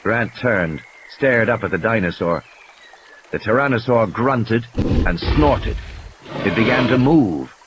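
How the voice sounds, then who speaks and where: Male narrator for an adventure audio play, lively pitch range, faint background noise and ambience. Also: slow, poor audio. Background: ambience and sound effects.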